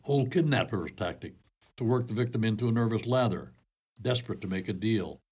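A man's voice: fairly low pitch, animated, a little fast, darkish tone, slightly rough. A man playing a matter-of-fact police detective in a comedy radio play, dry and businesslike. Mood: dry, reassuring.

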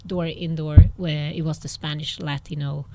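A woman's voice: deep voice, level tone, normal speed. A woman who grew up in Sweden, a guest entrepreneur chatting casually in an interview, thinking aloud and laughing often.

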